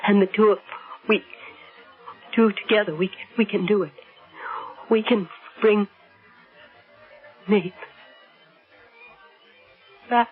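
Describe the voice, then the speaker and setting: A frightened young wife in a radio drama, fragile and dying. She pleads haltingly and intensely in a breathy voice. The old recording is narrow and muffled, with music behind. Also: mid-range voice, fairly even intonation. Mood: weak, urgent.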